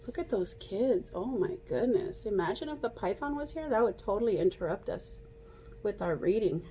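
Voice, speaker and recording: A woman reading a picture book aloud like a teacher, pausing to explain and ask young children questions. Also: mid-range voice, animated, warm tone, a little hiss or hum, rough recording. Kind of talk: interactive children's read-aloud.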